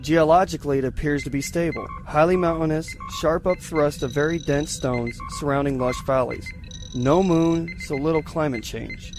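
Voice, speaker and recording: An eager science lieutenant in a sci-fi audio drama, played by a man. His voice sits a little high for a male, and he explains things with scholarly relish. Light music plays behind him. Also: moderate pace, lightly acted. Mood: reporting, focused.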